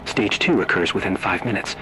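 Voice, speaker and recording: A man reading scripted analog horror narration in a solemn, deliberate, ominous manner. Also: deep voice, expressive, poor audio.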